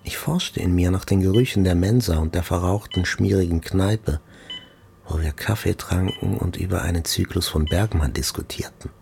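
An actor playing the inward voice of a wounded, brooding journalist, in intimate literary narration. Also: male voice, deep voice, normal speed, creaky, darkish tone, a little hiss or hum. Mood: intimate, remembering. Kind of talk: scripted literary narration, radio play.

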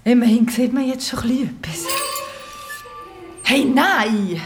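A woman's voice: on the high side, measured pace, faint music behind. An actress playing an anxious, obsessive middle-aged woman with a Swiss accent in a naturalistic radio horror drama. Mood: exasperated.

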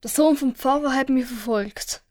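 A woman's voice: high voice, normal speed, a little mellow, close to an everyday voice. A woman playing an indignant, stubborn young schoolboy in a children's audio story. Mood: subdued, worried. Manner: defiant, childlike.

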